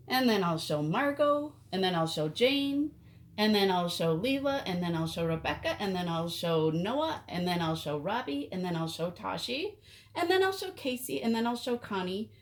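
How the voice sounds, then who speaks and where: Lady doing a chatty little kid from a picture book, excited and determined, super swoopy voice. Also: mid-range voice, lightly acted.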